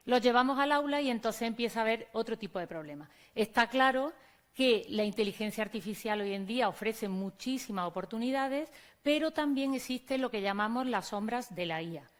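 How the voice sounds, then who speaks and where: A teacher with an Andalusian accent gives a conference presentation from the stage. Her voice sits a little high, and she talks to the audience directly and conversationally. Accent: Andalusian Spanish. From Córdoba, Spain. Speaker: teacher giving a conference talk.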